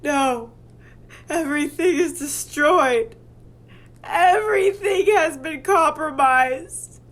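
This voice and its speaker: A woman's voice: sits high, takes it slowly, fairly bright tone. A woman acting as a frantic female survivor in a horror audio drama, melodramatic and panicked. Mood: despairing.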